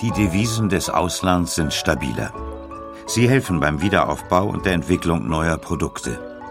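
A man's voice: low-pitched, animated, normal speed, music in the background. A man narrating a scripted documentary as one of its narrators.

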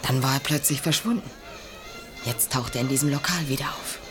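A woman's voice: a bass voice, brisk, loud music behind the voice. An actress playing a proud, wary young businesswoman in a German radio play, cool and pointed in her delivery. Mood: anxious, watchful. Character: proud, wary young businesswoman seeking independence from rich father.

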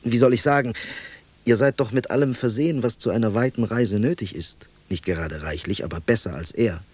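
An actor playing a gendarme in a radio drama, blustering yet deferential and philosophically minded, theatrical in delivery. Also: male voice, mid-range voice, brisk, darkish tone, breathy edge, dry recording, lightly acted. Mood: musing, earnest. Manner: theatrical, shifting from barked orders to reverent musing. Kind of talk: German radio drama acting.

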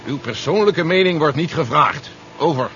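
Stern, worried general, muffled. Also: male voice, on the high side, lively intonation, moderate pace, fairly bright tone. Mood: curt, commanding. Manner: clipped, authoritative, serious. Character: General Stevens, stern worried military man. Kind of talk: 1970s Dutch radio drama acting. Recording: muffled noisy 1970s radio recording.

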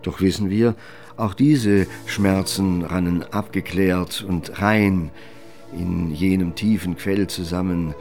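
Deep, slow-paced male narrator. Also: steady tone, a little mellow, soft background music. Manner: measured, formal. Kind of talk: literary narration in a radio drama.

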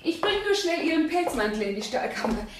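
An actress playing an outwardly solicitous, quietly controlling woman who runs a household, polite and fussy, in a 1970s German radio crime drama. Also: sits high, very animated, a little fast, slight background noise. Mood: polite, reproving.

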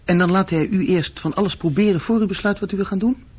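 An actor playing a bored, self-deprecating young male bookkeeping clerk in a radio drama, speaking haltingly. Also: sits high, slightly rough. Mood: curious, awkward. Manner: halting, searching for words. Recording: muffled old radio recording.

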